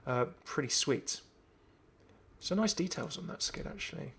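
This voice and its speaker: A man's voice: on the high side, animated, moderate pace, gravelly, a bit bright, slightly rough. Chatty man commentating on games. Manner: chatty, enthusiastic, thinking aloud. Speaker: gaming video host. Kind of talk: casual game update commentary.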